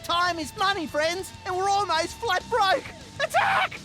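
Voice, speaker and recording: An actor playing an unhinged, gleeful Australian outlaw obsessed with explosives, in a manic, cackling video game voice. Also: male voice, very high voice, sing-song, measured pace, over loud music, full character voice. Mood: wild excitement. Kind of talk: video game character voice acting.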